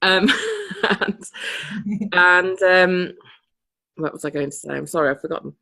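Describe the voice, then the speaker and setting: A woman's voice: on the low side, steady tone, measured pace, gravelly, pushed and bright. A woman chatting casually on a group video call. She laughs often and sometimes loses her thread. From Britain. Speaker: participant in a coaching group call.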